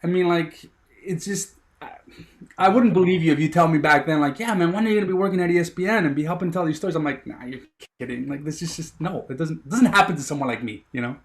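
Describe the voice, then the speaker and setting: A man's voice: a little high, quick pace. A man in his thirties from Miami, a sports producer telling his story as a guest, eager and rambling with many fillers. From Miami, USA. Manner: eager, rambling, heavy on "you know" fillers. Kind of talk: casual interview storytelling.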